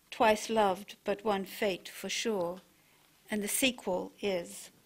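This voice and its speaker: A woman reciting her own poetry, with a personal introduction. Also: on the high side. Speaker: poet reading own work.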